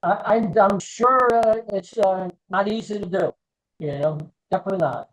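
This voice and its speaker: A man's voice: high-pitched, moderate pace, rough recording. A man in his sixties hosts a casual video interview, mostly giving short agreeing replies and repeating his words. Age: 60s.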